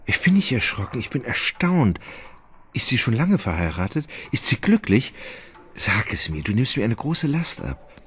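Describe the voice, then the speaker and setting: An actor playing a gentle, melancholy and sluggish gentleman in a German radio play, with an emotional, wavering delivery. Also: mid-range voice, sing-song, moderate pace, slightly rough. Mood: anxious, wistful. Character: gentle, sluggish, melancholy Russian gentleman, sentimental and easily moved.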